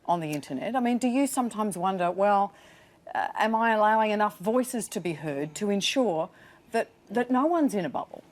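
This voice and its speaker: A woman's voice: mid-range voice, expressive, moderate pace. A woman hosting a TV panel and asking probing, conversational questions. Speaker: TV panel host. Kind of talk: live TV panel discussion.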